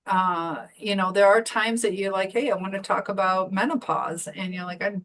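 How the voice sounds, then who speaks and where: A woman's voice: medium pitch, fairly even intonation, moderate pace, a bit bright. A woman talking casually as an interview guest on a video call, chatty and full of fillers. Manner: chatty, many fillers and restarts. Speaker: community founder guest.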